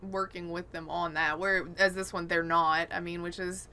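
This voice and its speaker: Bright-voiced woman in casual podcast chat. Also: lowish voice, little pitch movement, normal speed, slight background noise. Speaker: podcast host.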